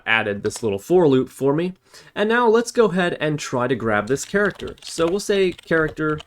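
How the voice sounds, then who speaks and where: A man's voice: medium pitch, slight background noise. A man narrating an informal screen-recorded coding tutorial as the instructor, explaining each step and thinking aloud as he works.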